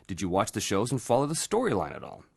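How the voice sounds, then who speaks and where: A Canadian man hosts a casual podcast. His pitch swings widely as he chats and reads out questions, and his manner is jokey and animated. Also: fast, gravelly, slightly rough. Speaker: podcast host, voice actor. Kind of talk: casual chat, reading listener questions.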